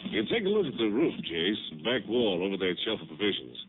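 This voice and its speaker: A man's voice: lowish voice, wildly swooping pitch, brisk. An actor playing an easygoing, folksy male country sheriff in a 1950s radio drama. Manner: easygoing, folksy drawl of words. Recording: tinny lo-fi old radio broadcast with faint hiss.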